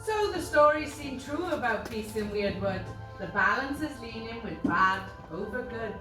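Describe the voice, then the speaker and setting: A woman's voice: a little high, lively intonation, moderate pace, natural voice. Woman as gloomy rhymer on echoey stage. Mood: disapproving. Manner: declamatory rhymed verse. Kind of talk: amateur stage pantomime acting. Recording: echoey distant stage recording, music behind.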